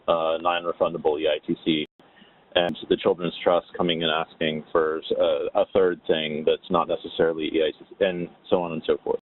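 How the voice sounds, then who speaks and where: A man explaining policy on a webinar in a measured, halting and thoughtful way, heard over a phone-like connection. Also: deep voice. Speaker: webinar panelist on tax policy. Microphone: phone or web conference call. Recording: narrow, tinny phone-line sound.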